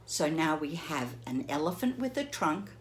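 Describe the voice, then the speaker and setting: A woman teacher reading a picture book aloud to children, gently pausing to ask the listener questions. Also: deep voice, sing-song. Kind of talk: children's read-aloud lesson.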